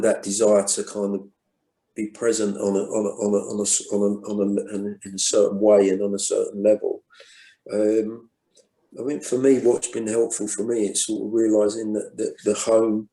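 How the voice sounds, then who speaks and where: Male guest, low warm voice, muses slowly with lots of restarts, echoey room. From England. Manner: thoughtful, many restarts and fillers. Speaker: guest poet. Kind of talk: reflective conversation.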